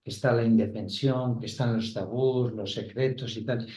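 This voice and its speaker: Thoughtful man, mellow voice, echoey room. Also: medium pitch, fairly even intonation, normal speed. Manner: thoughtful, hesitant, searching for words. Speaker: panelist, Gestalt therapist. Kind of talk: round-table discussion. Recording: roomy, dull, lo-fi recording.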